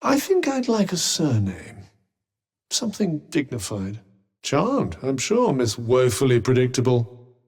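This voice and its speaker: A man's voice: medium pitch, huge pitch swings, measured pace, darkish tone, breathy edge, exaggerated voice. A male actor voicing a haughty, erudite magical cuff in a video game, with a British accent and a dry, sarcastic, pompous manner. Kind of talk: video game companion dialogue.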